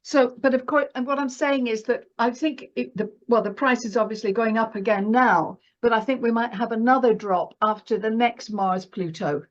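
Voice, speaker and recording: A woman's voice: on the high side, normal speed, few pauses, highs cut off. A woman speaking as a guest market analyst in a casual, chatty conversation, often checking for agreement with little tag questions.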